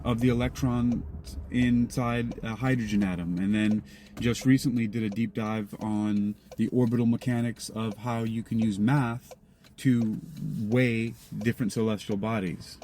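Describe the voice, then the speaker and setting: A man's voice: fairly even intonation, unhurried, warm tone. A man speaking casually as an interview guest about his own creative work. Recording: noisy vehicle-like background rumble.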